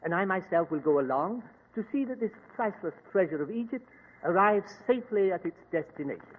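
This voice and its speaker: Stern high-voiced foreign minister. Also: male voice, expressive. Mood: insistent, formal. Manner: formal, measured, grave. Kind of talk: old-time radio drama acting. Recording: tinny narrow-band old radio recording.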